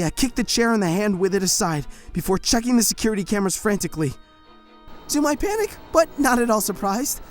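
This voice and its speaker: First-person audio-drama narrator, harried warden, read by a performer; animated, exasperated, faint music bed. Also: mid-range voice, natural voice. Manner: frantic, exasperated storytelling.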